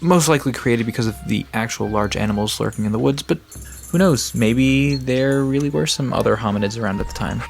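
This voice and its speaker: An easygoing guide, acted by a man in a conversational audio drama. His mid-range voice is casual and explanatory, with quiet music underneath. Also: medium pitch, a little fast, gravelly, lightly acted. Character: Sam, easygoing male park guide to cryptids.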